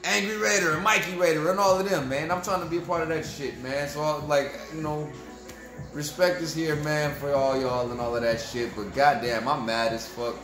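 A man's voice: on the high side, fairly even intonation, normal speed, echoey room, over music. A young man ranting profanely and with frustration to his viewers in a sports fan vlog, recorded at home in front of a camera. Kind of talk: ranting post-game fan review. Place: room at home, indoors.

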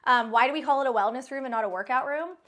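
A woman's voice: high-pitched, very fast, fairly bright tone. A woman in her thirties, an interior designer, chatting upbeat and casual as she walks through her house, laughing often. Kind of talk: casual home tour chat. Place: bright home interior rooms.

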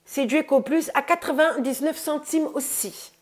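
A woman in her thirties with a stuffy nose from a cold, chatting casually to her viewers about her groceries in a bright room, recorded on a lapel mic. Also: high-pitched, normal speed. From France. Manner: chatty, says "les amis" often, upbeat. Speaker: vlogger. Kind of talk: casual grocery haul vlog. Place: bright room indoors by a window.

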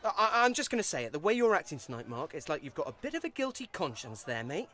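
A man's voice: a little high, big pitch swings, quick pace, pushed and bright. An actor playing a nervy, blunt British man under suspicion, stammering and colloquial in a naturalistic audio drama. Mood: rattled, indignant.

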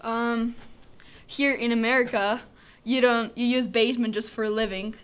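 A woman's voice: fairly high pitch, little pitch movement, moderate pace, clear, resonant tone. A teenage girl from Ukraine, an exchange student, joking around in a school TV interview. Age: teens. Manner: playful and boastful.

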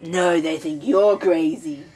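A hoarse woman, losing her voice, bantering in a dry, teasing way during a casual sign-off. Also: low-pitched, takes it slowly, darkish tone, a little hiss or hum. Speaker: craft video host.

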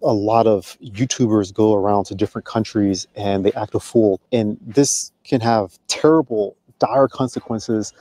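Man, middle-aged, low warm voice, dry close sound, deliberate vlog talk outdoors. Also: steady tone, no room sound. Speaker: vlogger. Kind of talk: vlog commentary. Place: outdoors in a park.

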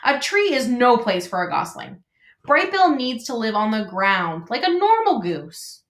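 Bossy, chatty mother goose, put on by a woman reader, higher and brighter, roomy echo. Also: sing-song, measured pace. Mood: fussy. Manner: fussy, blunt, chatty.

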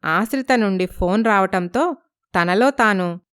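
Clear-voiced woman storyteller. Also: mid-range voice, a little slow, fairly bright tone, dry recording, clean studio sound. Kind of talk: scripted story narration.

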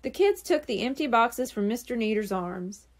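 Animated higher-pitched woman reading children's fiction. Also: moderate pace. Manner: animated, acts out dialogue. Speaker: audiobook reader. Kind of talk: expressive children's storybook narration.

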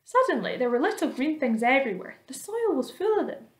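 A woman's voice: high voice, sing-song, fairly quick, voice pushed up. A considerate lady spider from a storybook, read by a woman. She is polite, attentive and house-proud, heard in a roomy space. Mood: excited. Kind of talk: children's storybook read aloud. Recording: roomy, echoing indoor sound.

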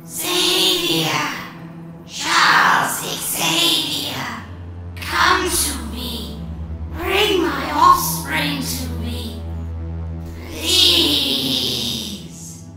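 A woman's voice: sits high, very animated, extremely slow, pauses often, pushed and bright, faint music behind, full character voice. A woman voicing an alien insect queen calling out telepathically from a distant world, pleading and commanding.

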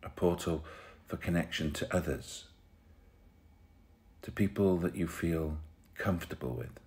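Really deep voiced guy doing a guided meditation, soothing, takes his time, lots of pauses. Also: a little mellow. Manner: soothing, unhurried, pausing between short phrases.

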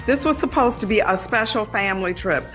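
A woman's voice: medium pitch, fairly quick, music in the background, lightly acted. An actress playing a fretful, exasperated mother of an unruly family in an audio drama. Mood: embarrassed.

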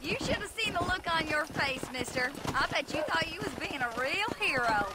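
A cackling frontier horse thief. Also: female voice, high-pitched, expressive, fairly quick. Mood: teasing. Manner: cackling, teasing, drawling. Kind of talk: video game cutscene acting. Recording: game audio with outdoor ambience noise.

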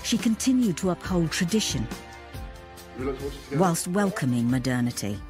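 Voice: medium pitch, very animated, normal speed, over music. A narrator reading scripted, documentary-style narration.

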